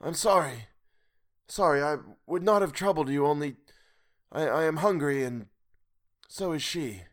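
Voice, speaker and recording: A man voicing a frightened, hungry old man in a sea story, halting and pleading in formal old-fashioned words. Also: a little high, lively intonation, voice pushed up, lightly acted. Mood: hopeless, apologetic.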